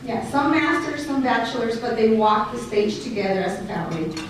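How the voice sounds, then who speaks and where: A woman's voice: fairly high pitch, brisk, a little hiss or hum. A middle-aged woman from Mexico with a Mexican Spanish accent, a school district director giving an award introduction at a banquet. She reads prepared remarks and adds joking asides to the audience. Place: large banquet hall. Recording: echoey hall recorded from far off.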